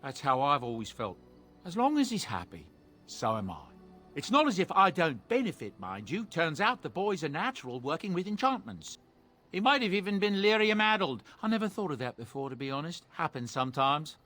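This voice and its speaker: Kindly dwarf merchant: genial, folksy, lively rise and fall in the voice. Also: male voice, on the high side, fairly quick, faint music behind. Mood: musing. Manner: friendly, folksy, musing. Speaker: game character.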